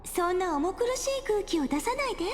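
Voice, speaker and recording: Very high-pitched tender young anime heroine. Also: female voice, very animated, racing. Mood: tender, wistful. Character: gentle young woman, tender, resolute, wistful, anime game heroine. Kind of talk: Japanese anime game voice acting. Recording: long reverb, music under the voice. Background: game music.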